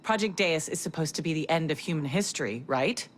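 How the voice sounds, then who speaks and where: An actress playing a skeptical researcher with a wry, dry way of talking. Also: female voice, low-pitched, animated, fairly quick, slight background noise. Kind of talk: video game cutscene acting.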